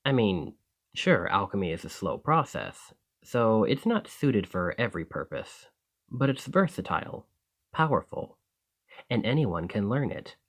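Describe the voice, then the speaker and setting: A man's voice: fairly low pitch, big pitch swings, unhurried, full character voice. A man playing a friendly, earnest, easily flustered alchemist in an ASMR roleplay, talking conversationally to the listener. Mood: enthusiastic explaining. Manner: conversational, enthusiastic, hesitant when embarrassed.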